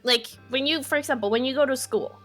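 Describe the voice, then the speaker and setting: A woman in her twenties from Mexico with a Mexican Spanish accent, a podcast guest speaking casually in English, her second language, pausing and restarting to find her words. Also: sits high, fairly bright tone, faint music behind. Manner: thoughtful, searching for words, many restarts. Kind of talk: casual conversation.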